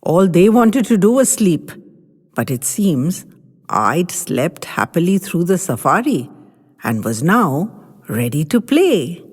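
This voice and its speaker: A woman's voice: fairly low pitch, big pitch swings, takes it slowly, a little mellow, husky, full character voice. A woman voicing a grandchild who tells the family a story with teasing, bossy confidence. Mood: storytelling. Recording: long hall reverb.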